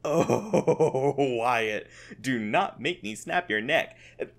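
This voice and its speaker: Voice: mid-range voice, animated, slow pace. An actor playing a gleeful sadistic monster captor in an ASMR audio drama, theatrical and taunting with cloying affection. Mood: gleefully menacing. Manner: theatrical, taunting, sing-song pet names.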